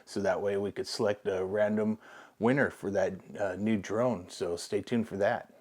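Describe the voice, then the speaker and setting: A man in his forties talking casually to camera in a relaxed, rambling way with many fillers, his voice hoarse and going out. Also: lowish voice, moderate pace, very noisy. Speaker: vlogger. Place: indoor hobby room with shelves.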